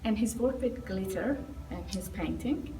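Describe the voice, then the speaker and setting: A woman from Iran with an Iranian accent, speaking thoughtfully and haltingly as a rug designer in an interview. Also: medium pitch, lively intonation, mellow. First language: Persian. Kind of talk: conversational interview. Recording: roomy, from a distance, with faint music.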